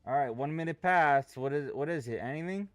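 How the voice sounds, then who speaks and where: A man's voice: on the high side, fairly quick, no room sound, faint music behind. A man streaming live trades, talking casually, restless and impatient, swearing freely as he talks. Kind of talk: casual live trading commentary.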